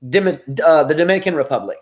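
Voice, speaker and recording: A man's voice: on the high side, lively intonation, rapid-fire. A man co-hosting a casual live talk show, reading viewer comments aloud and picking apart their words. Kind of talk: casual live-stream chat.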